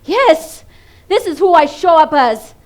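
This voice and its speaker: A Ugandan woman performing a theatrical comic monologue, emphatic and dramatic, swinging between hushed and loud delivery. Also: very high voice, sing-song, measured pace. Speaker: competition contestant performing a monologue. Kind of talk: theatrical comedic stage monologue.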